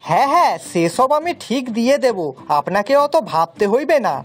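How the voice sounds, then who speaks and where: A woman's voice: fairly high pitch, sing-song, a little fast, faint music behind. A woman voicing a simple, kind-hearted village man in a children's cartoon, earnest and folksy. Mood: kindly.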